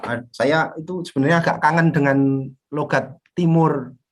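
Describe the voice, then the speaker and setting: A man's voice: fairly high pitch, steady tone, normal speed. A man with a Javanese accent moderating an online group discussion in a chatty way, laughing between remarks, on a video call. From Java. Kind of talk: casual online meeting moderation.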